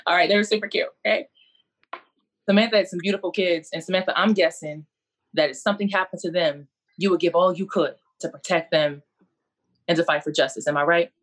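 A woman's voice: fairly low pitch, steady tone, fairly quick, pauses often. Woman, college coach, video call, earnest, preacherly, repeats her points. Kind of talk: impassioned faith-based speech on a video call.

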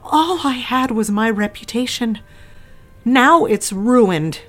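A woman's voice: a little high, expressive, slow, background noise. An actress playing a cold, controlling middle-aged mother in an audio drama, cutting and accusing in a melodramatic way. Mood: wounded, dramatic. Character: cold, scornful, controlling mother of a grown daughter.

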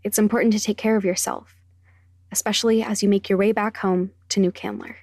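A woman's voice: medium pitch, little pitch movement, a little fast, a little mellow. Female announcer for scripted podcast notices and advisories.

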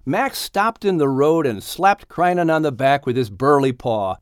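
A man narrates a storybook in a scripted audiobook style. His pitch moves widely and expressively from phrase to phrase. The recording is very dry, with no room sound. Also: lively intonation, measured pace, clear, resonant tone, no room sound.

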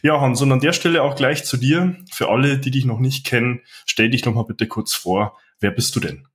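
A man's voice: medium pitch, normal speed. Earnest male podcast host. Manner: structured, earnest questioning. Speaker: podcast host and personal trainer. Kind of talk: interview hosting.

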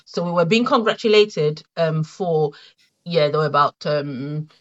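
A middle-aged woman with a Nigerian accent, a guest in an interview, telling her story in a reflective, halting way with many fillers and restarts. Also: low voice, dull audio. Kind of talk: conversational interview.

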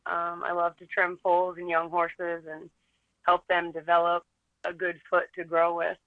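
A woman hoof trimmer talks in a flat, measured voice, now and then pausing on a filler. The sound is thin and phone-like. It is dry, with no room echo. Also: on the low side. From New York State. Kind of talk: phone interview. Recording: tinny phone line.

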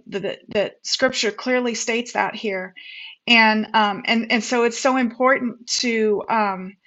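A woman's voice: a little high, a bit bright, dull audio. A woman guest on a faith chat, quoting scripture and speaking with hesitations. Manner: hesitant, many fillers and restarts, quotes scripture. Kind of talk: faith-based casual discussion.

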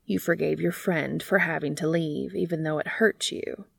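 A woman voicing an earnest, devoted male bee in a storybook, speaking softly, tenderly and deliberately. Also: low-pitched, steady tone, gravelly, breathy edge, no echo, studio quality, heavily acted. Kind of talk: storybook character reading.

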